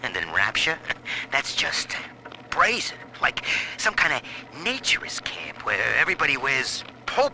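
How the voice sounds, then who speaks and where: Here is a shifty, jittery informant in a video game. His lowish voice swings wildly in pitch as he talks in sardonic, colloquial asides at an unhurried pace. Clear noise runs through the audio log. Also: full character voice. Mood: mocking, amused. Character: sneaky, jittery, gossiping male informant. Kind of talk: dramatic audio-diary monologue. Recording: noisy old audio-log tape.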